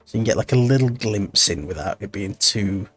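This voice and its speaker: Streamer, male, tired and snuffly, lively up-and-down delivery, rambling self-talk over faint background music. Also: on the low side, a little fast, husky. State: tired and stuffy-nosed. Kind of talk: casual gameplay commentary.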